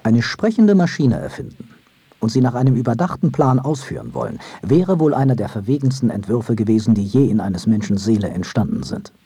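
A man with a husky voice giving a scripted reading of a literary text. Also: mid-range voice, big pitch swings, a little fast, warm tone, no room sound.

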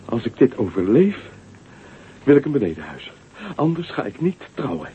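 A man's voice: medium pitch, big pitch swings, moderate pace, warm tone, a little hiss or hum, close to an everyday voice. An actor playing a timid young man who is afraid of heights and stammers with fear in a radio play. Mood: worried. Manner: stammering, fearful. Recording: tinny radio recording with faint music.

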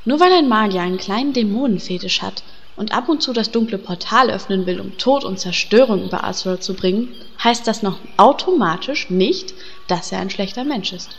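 A woman's voice: mid-range voice, animated, a little fast, big-room sound, highs cut off, slight background noise, close to an everyday voice. A woman playing an earnest gamer heroine in a raid party, in a scripted comedic audio drama.

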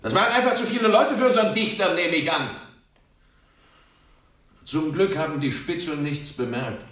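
An actor playing a hard, scornful revolutionary in a radio play, with sharp and mocking delivery. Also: male voice, fairly high pitch, normal speed, lightly acted. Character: hard, sardonic male revolutionary, scornful and blunt. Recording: very echoey, distant room.

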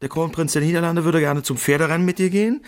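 An actor playing a businesslike, deferential secretary in a German radio drama, brisk and coaxing. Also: male voice, fairly high pitch, lightly acted.

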